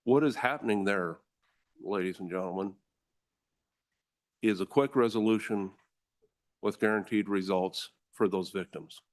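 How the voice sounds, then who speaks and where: A deep, low-pitched American man testifies before a legislative committee. He speaks with forceful conviction. He addresses the room directly, like a prosecutor making his case. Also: measured pace, pauses often. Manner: forceful, earnest, addresses the room as ladies and gentlemen. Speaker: district attorney testifying.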